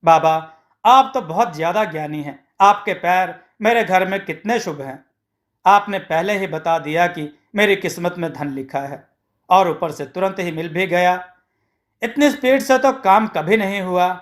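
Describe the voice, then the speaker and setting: Man voicing a high-pitched credulous village housewife. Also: a little slow, a bit bright. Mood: eager, awed.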